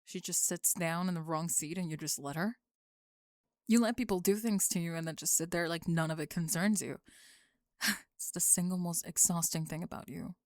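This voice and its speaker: A woman's voice: lowish voice, steady tone, moderate pace, darkish tone, dry recording, clean studio sound, natural voice. A woman playing a bossy, possessive young student bully, cold and scolding, in an ASMR roleplay. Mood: irritated, scolding.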